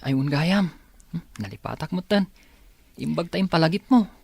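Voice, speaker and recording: A man's voice: a little high, lively intonation, moderate pace, vocal fry, darkish tone, breathy edge, dry recording, exaggerated voice. A man acting an earnest, respectful young son in an Ilocano radio drama. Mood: casual. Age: young adult.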